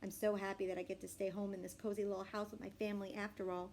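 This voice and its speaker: A woman voicing an excitable, imaginative little boy in a children's storybook reading. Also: mid-range voice, quick pace, vocal fry, slight background noise, natural voice. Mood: relieved.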